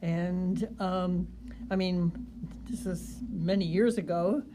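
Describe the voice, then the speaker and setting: An elderly woman in her eighties, haltingly recollecting in an interview. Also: fairly low pitch, fairly even intonation. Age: 80s. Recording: noisy recording.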